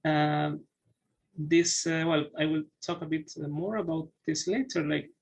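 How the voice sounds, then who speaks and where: A man's voice: a little high, fairly even intonation, measured pace, breathy edge. A man in his thirties from Chile, a native Spanish speaker with a Chilean accent, giving an online academic writing workshop over a video call with many fillers and restarts. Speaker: workshop presenter. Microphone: video call webcam.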